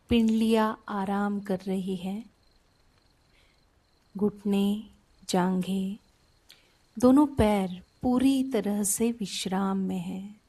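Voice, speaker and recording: A woman softly guides a sleep meditation in a soothing, unhurried way, pausing between short phrases. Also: mid-range voice.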